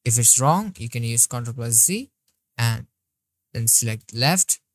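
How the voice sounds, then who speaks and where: A woman narrating a software tutorial step by step, describing each click as she goes. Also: extremely low pitch, animated, unhurried, no echo. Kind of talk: screen-recorded tutorial walkthrough.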